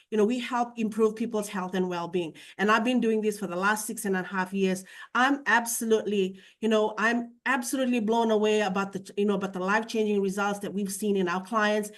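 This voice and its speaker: A woman's voice: mid-range voice, fairly even intonation, a little fast, few pauses. A woman from Fiji, whose first language is Fijian, speaking as co-host of a business presentation over a video call. She talks in a chatty way with many fillers.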